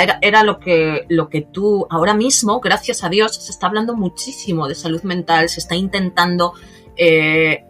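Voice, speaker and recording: A woman, a podcast host who talks at length with many fillers and lively asides. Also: lowish voice. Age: 40s. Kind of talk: casual interview conversation.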